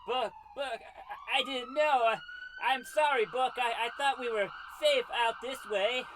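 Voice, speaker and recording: A man's voice: very high voice, unhurried, background noise. A man playing a nervous, apologetic crook's sidekick who stammers and pleads, in a radio comedy. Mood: pleading. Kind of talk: old-time radio comedy acting.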